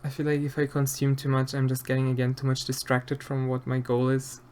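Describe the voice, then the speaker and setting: Soft-spoken Austrian man, hesitant chat. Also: medium pitch, completely flat delivery, brisk, slight background noise. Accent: Austrian German. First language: German. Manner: hesitant, many fillers and restarts, soft-spoken. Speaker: streamer answering chat while sculpting. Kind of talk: casual live-stream chat.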